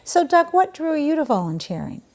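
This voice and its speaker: A woman hosting a local talk show, interviewing guests with effusive, animated praise. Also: high voice, a little fast.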